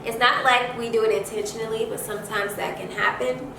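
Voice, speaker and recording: A young woman talks casually to her viewers in an echoey room, set well back from the mic. She sounds congested and speaks in a halting, conversational way, rephrasing her motivational advice as she goes. Clear noise sits behind her voice. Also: fairly high pitch, steady tone. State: congested from allergies. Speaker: host of a self-care advice channel. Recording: echoey room, distant mic, noisy background.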